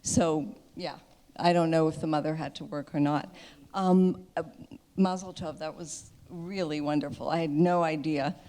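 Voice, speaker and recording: Low, mellow-voiced woman audience member. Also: steady tone, moderate pace. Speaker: audience member asking a question. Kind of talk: informal spoken question and comment.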